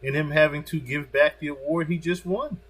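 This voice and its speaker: A man's voice: fairly high pitch, fairly even intonation, fairly quick, a bit bright, husky. A man commenting on a video in a casual, emphatic way, repeating himself for stress and swearing freely.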